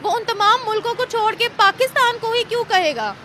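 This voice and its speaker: A woman's voice: very high voice, animated, brisk, bright, forceful tone. A woman with a Pakistani accent working as a street interviewer outdoors, questioning in a challenging, argumentative way. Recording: noisy outdoor street recording.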